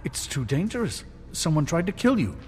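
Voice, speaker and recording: A man's voice: on the high side, big pitch swings, normal speed, a little mellow, soft background music. An actor playing an anxious, officious man in a video game, urgent and protesting, with echoing reverb. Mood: worried, insistent.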